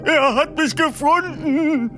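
Guy doing a scared old man pushing eighty, stammery and breathless, high thin voice, kinda loud. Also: takes it slowly, music in the background. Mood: nervous. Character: frightened elderly man nearly eighty.

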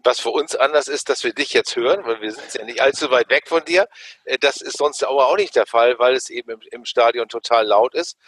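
A man co-hosting a podcast, chatting in a relaxed, friendly way. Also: mid-range voice, fairly quick, husky. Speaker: podcast co-host. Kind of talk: casual chat.

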